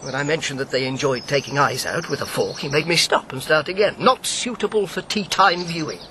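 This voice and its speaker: A blunt older man who once served as a wartime officer. His voice is sharp and a little high, with dry, sardonic, articulate phrasing. Faint birdsong sits behind this radio drama performance. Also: fairly quick, a little hiss or hum. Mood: scornful. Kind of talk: naturalistic radio drama acting.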